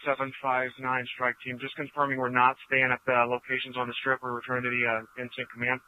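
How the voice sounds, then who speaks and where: A man's voice: mid-range voice, completely flat delivery, fast talker, no echo. A man speaking as a police officer, confirming details over a police radio. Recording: narrow, tinny police radio transmission.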